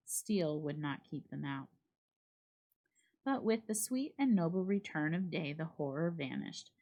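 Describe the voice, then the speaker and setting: A woman reads a literary horror tale aloud in a slightly low voice. Her storytelling is measured and expressive, delivered as a dramatic reading. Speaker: library staff reader.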